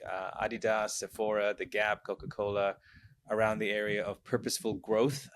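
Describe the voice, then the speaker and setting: A man in his forties from Sri Lanka, a guest speaking from home in a measured way, often saying "you know". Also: on the low side, a little hiss or hum. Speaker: guest, brand consultant. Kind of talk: casual interview chat.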